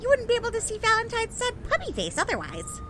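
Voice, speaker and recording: Very high, squeaky, excitable little creature. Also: female voice, quick pace, noisy background, full character voice. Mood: chirpy, excited. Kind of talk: audio drama acting.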